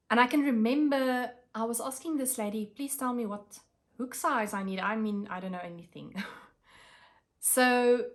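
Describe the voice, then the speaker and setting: A South African woman whose first language is Afrikaans, chatting casually to camera about her craft projects, with many pauses and fillers. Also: mid-range voice. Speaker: craft vlogger.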